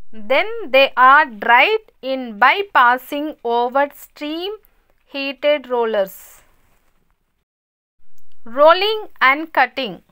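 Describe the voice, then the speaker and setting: A woman reading a scripted school lesson as a voice-over, stating each heading and then explaining it in a measured way. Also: high-pitched, pushed and bright. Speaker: narrator of a school lesson video.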